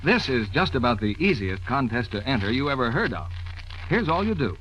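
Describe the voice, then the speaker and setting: A man's voice: mid-range voice, sing-song, a little fast, fairly bright tone. An American man announcing an advertisement in 1940s radio style. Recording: dull, faintly noisy old radio recording.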